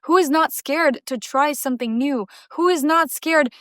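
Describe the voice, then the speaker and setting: A woman's voice: high voice, normal speed, fairly bright tone, no echo. Young woman, Russian native, vlogger; emphatic, punchy repetition, dry close mic. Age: young adult. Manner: emphatic, repeats phrases for effect. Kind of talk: motivational talk to camera.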